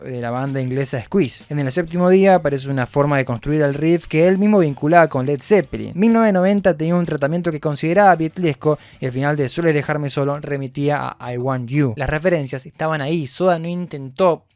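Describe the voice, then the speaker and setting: A man's voice: fairly high pitch, fast talker, few pauses, a little mellow, no echo. A man with an Argentine accent who hosts a video essay, explaining his ideas in a reflective way with informal asides. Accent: Argentine Spanish. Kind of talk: scripted video essay narration.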